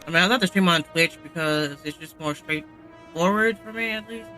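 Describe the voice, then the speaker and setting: A man's voice: fairly high pitch, moderate pace, sharp tone, faint music behind. A man chatting casually and ramblingly as he streams a game. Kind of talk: casual live stream chat and commentary.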